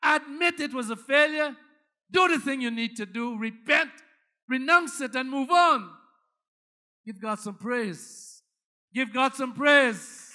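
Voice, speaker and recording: A Guyanese pastor delivers a sermon in a very high-pitched voice for a man. His tone is bright and forceful, and he preaches dramatically, leaning on repeated phrases to drive each point. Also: slow pace.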